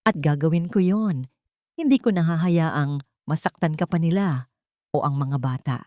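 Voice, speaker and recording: A woman's voice: low voice, moderate pace, warm tone, no echo, clean studio sound, natural voice. A woman voicing a determined, devoted young businessman in a romance drama, speaking firmly and earnestly. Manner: firm, earnest, declarative.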